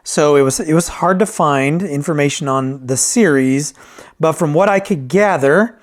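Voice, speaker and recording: Higher-voiced man giving a casual gear review. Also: moderate pace. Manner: rambling, self-correcting, with frequent "right?" check-ins. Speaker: reviewer and repairer of vintage tape decks. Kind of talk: casual unscripted product review.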